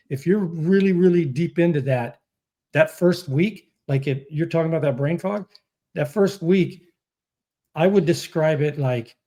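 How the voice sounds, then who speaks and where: A man hosting a casual interview, talking in a halting way and rephrasing in mid-thought. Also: a little high, warm tone. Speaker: interview host. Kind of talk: casual chat.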